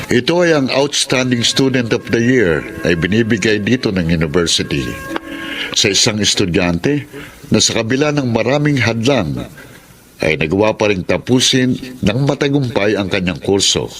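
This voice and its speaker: Pormal at mababang-boses na tagapagpahayag. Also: male voice, lowish voice, lively intonation, a bit bright. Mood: formal, proud. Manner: measured, ceremonious. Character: formal male university ceremony announcer. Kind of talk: formal announcement in a radio drama. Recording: clear music under the voice.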